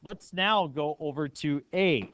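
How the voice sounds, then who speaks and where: A man's voice: on the high side, a little slow, a bit bright, highs cut off. A man teaching a math class step by step, often checking understanding with questions. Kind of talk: classroom lesson, explaining step by step.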